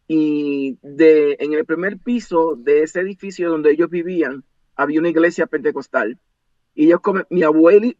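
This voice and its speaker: A man in his sixties, a pastor born in the United States whose native language is English, calmly recalling his childhood as an interview guest. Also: a little high, dry recording. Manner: measured, reflective recollection. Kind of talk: interview, personal storytelling. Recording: dull dry lo-fi audio.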